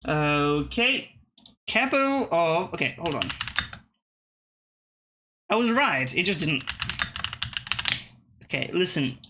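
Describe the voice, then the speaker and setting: A man's voice: a little high, big pitch swings, slow, pauses often, background noise. A young man casually thinking aloud and swearing while playing geography web games. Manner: thinking aloud, profane, muttering and restarting. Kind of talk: casual gameplay commentary.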